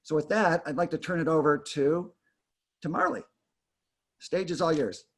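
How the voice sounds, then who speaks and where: A man's voice: on the high side, normal speed, creaky. A man hosting an online event, introducing guest speakers in a rambling way with many fillers on a video call. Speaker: host.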